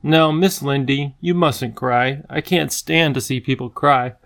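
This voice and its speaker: A fussy, towering palace official from a storybook, acted out by a man reading aloud. He is brisk, officious and grave, easily irritated but soft-hearted underneath, with a gravelly creak in his voice. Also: mid-range voice. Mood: flustered, relenting.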